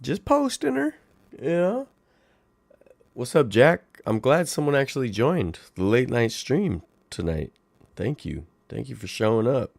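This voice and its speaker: Raspy-voiced man hosting a livestream. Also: mid-range voice, a little slow, dry recording. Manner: animated, playful, talks to chat viewers. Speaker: livestream host. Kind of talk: casual livestream chat. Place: home.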